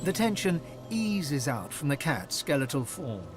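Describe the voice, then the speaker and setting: A man playing a calm storyteller who narrates a fantasy video game in a measured, descriptive way. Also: a little high, music in the background. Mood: calm, hushed. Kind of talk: scripted narration.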